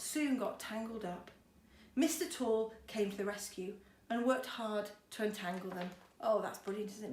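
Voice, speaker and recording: A woman schoolteacher reading a storybook to children expressively, with chatty, encouraging asides. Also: a little high. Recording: roomy echo, faint background noise.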